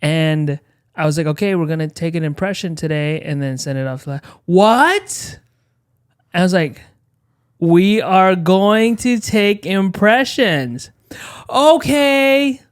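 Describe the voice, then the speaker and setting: A man co-hosting a casual podcast chat, telling lively work stories and acting out what people said. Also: sits high, slow pace, smooth, no echo, clean studio sound. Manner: animated storyteller, acts out quotes. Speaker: co-host.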